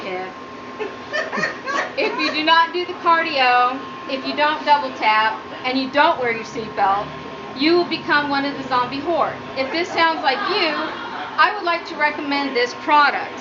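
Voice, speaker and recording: A woman's voice: lively intonation, a little slow. A woman giving a humorous prepared speech as a student, with a nervous, giggly delivery. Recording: muffled low-quality audio, noticeable background noise.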